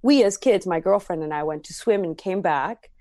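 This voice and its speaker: Woman rambling through a story, lowish voice, dry sound. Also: normal speed, dark tone, no room sound. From Armenia. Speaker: podcast guest. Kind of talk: personal storytelling.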